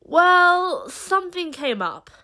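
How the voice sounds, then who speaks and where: A woman's voice: very high voice, sing-song, slow, pushed and bright, heavily acted. A woman playing a smug, evasive schemer in an audio drama, with a coy, arch delivery. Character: smug, evasive, teasing woman who teleports.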